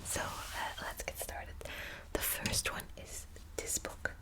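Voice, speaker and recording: A woman whispering close to the mic in an ASMR book video, hesitant with many ums and restarts, also reading passages aloud. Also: slow. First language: Dutch. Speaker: ASMR creator.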